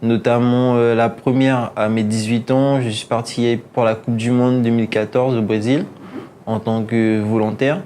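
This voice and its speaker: A man's voice: medium pitch, fairly even intonation, moderate pace, warm tone. A man of twenty-two speaking about his own experience in an interview. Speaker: programme participant. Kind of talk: personal testimonial. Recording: faint background noise.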